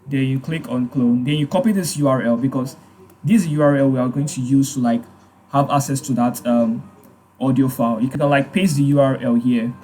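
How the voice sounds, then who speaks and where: A man hosting a screen-recorded software tutorial, talking casually with frequent fillers and repeating his points. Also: mid-range voice. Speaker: tutorial host.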